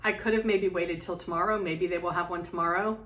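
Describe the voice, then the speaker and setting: A woman's voice: on the low side, steady tone, brisk, a bit bright. A middle-aged woman in her home sewing room chatting to camera, rambling with many ums and restarts. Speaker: sewing vlogger. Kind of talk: casual chat to camera. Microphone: camera in front, medium close framing.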